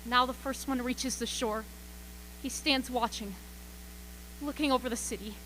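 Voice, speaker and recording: A woman playing a frightened radio reporter describing an alien invasion in a radio play, halting and repeating words. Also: on the high side, fairly even intonation, a bit bright, a little hiss or hum, low-quality recording. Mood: grim dread. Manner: breathless, halting, repeats words under rising panic. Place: school auxiliary gym stage.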